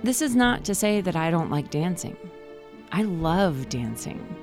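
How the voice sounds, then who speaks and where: A woman in her forties, hosting a podcast and narrating a personal story in a calm, reflective way. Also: lowish voice, measured pace, over music. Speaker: podcast host.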